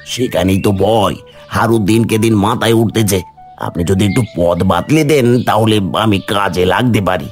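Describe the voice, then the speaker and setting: A man's voice: fairly low pitch, normal speed, faint music behind, close to an everyday voice. A man voicing a scheming, envious henchman who is servile to his boss in a village cartoon. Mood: sly, conspiratorial. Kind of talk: cartoon story dialogue.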